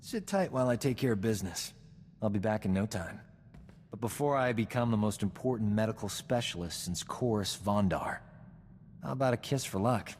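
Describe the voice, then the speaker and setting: Cocky doctor in a cavernous space. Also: male voice, fairly low pitch, normal speed. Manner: cocky, self-admiring, smooth-talking. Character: vain, flirtatious male doctor, boastful charming rogue. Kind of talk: video game cutscene acting.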